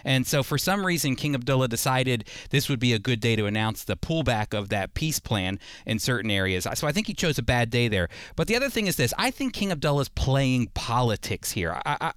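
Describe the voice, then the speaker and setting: A man's voice: medium pitch, a bit bright, no room sound, clean studio sound. A man hosting a radio program, teaching the Bible in a conversational, earnest and emphatic way, repeating phrases for emphasis.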